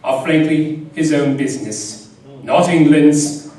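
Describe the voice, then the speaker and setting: A man's voice: a little high, steady tone, takes it slowly, dark tone. A man playing a loyal, anguished British army captain, earnest and emotional, in a live horror radio drama. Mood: subdued, reflective. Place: live radio theater stage. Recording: very echoey hall, distant mic, audience noise.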